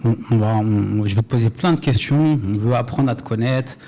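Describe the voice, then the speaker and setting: Mellow-voiced male podcast host. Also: medium pitch, moderate pace. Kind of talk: conversational interviewing.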